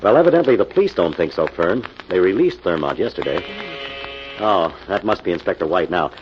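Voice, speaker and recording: An actor playing a composed, analytical amateur sleuth in an old-time radio detective drama. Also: male voice, low voice, lively intonation, a little fast, over music. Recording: muffled, hissy old radio recording.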